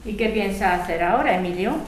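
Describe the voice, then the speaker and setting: Una actriz con acento castellano que interpreta a una vecina combativa y protectora en una ficción sonora. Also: female voice, medium pitch, fairly quick, close to an everyday voice. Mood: indignant, protective. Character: Turi, combative, protective neighbour woman. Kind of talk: scripted audio drama. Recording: echoey room, background noise.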